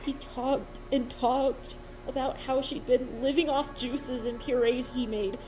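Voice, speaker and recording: A woman's voice: sits high, steady tone, unhurried, mellow, rough recording. Woman dramatically narrating horror over music. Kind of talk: dramatic first-person horror reading.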